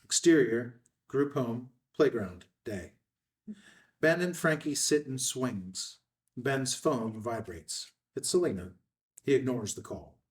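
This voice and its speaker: A man's voice: medium pitch, lively intonation, takes it slowly, far from the mic. A man reading scene headings and stage directions in a clipped, scripted narration at a table read.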